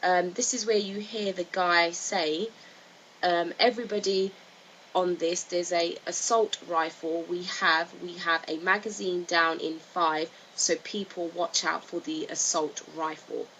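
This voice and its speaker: Low-pitched woman commentator over clear background noise. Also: steady tone, measured pace, a bit bright. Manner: hesitant, repetitive, frequent "you know" and "like". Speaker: video narrator. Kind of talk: informal opinion commentary on audio evidence. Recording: noisy amateur voice-over with clear hiss.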